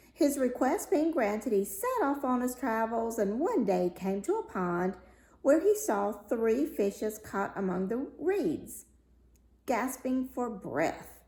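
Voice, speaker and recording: A woman reading a fairy tale aloud as a storyteller, with deliberate, dramatic phrasing. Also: medium pitch, darkish tone. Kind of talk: expressive read-aloud fairy tale narration. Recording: roomy, echoey room sound.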